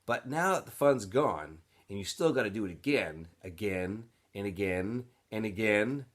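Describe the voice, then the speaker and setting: A man's voice: fairly low pitch, lively intonation, measured pace. A man voicing a cocky, knowing teenage ringleader in a storybook, teasing and persuasive. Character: cocky, streetwise teenage boy, camp ringleader.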